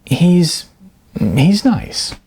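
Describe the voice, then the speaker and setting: A narrator voicing a nervous, earnest young woman who makes arty indie films and speaks haltingly. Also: mid-range voice, very animated, dark tone, breathy edge, voice pushed up, heavily acted. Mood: hesitant, shy. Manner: hesitant, halting, fidgety.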